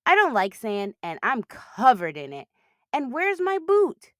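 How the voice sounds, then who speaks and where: Woman as an animated, fussy little girl. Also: a little high, measured pace, no echo, clean studio sound, voice pushed up, heavily acted. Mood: annoyed. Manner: expressive, squealing when excited. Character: young girl, fussy, anxious but playful.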